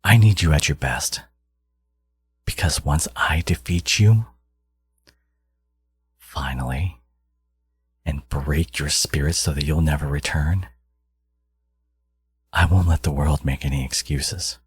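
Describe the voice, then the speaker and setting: A man playing a smug, possessive supervillain genius in an intimate audio roleplay, taunting his hero in a theatrical, teasing drawl with a gravelly, husky voice. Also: a bass voice, takes it slowly, pauses often, dry recording, full character voice. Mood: intense, commanding.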